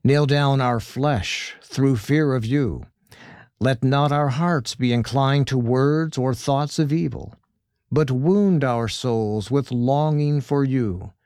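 A man reading a liturgical prayer slowly and solemnly. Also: mid-range voice, a little mellow, no room sound, studio quality. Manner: solemn, slow, deliberate.

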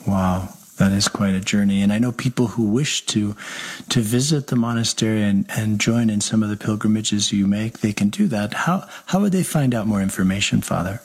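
A man, a parish priest hosting a radio interview, speaking gently and conversationally. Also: fairly low pitch, normal speed, slightly rough.

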